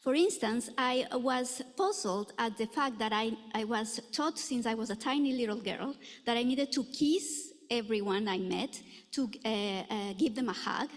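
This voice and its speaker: A middle-aged woman from Ecuador giving a conference lecture in a lecture room, earnest and full of filler pauses. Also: a little high. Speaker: anthropology professor giving a talk. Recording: echoey hall reverb.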